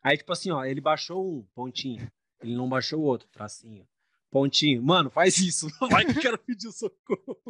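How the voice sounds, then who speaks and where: A young man guest on a casual podcast, talking in animated, slangy, laughing banter. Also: a little high, a little fast, husky, no echo.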